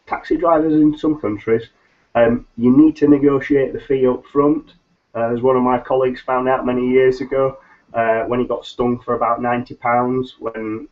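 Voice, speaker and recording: A middle-aged man, nasal and a little hoarse from hay fever, hosting a solo business webcast in a rambling way with many ums and restarts. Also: medium pitch. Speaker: business consultant host. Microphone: webcam mic on a video call. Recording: slightly dull lo-fi webcam audio.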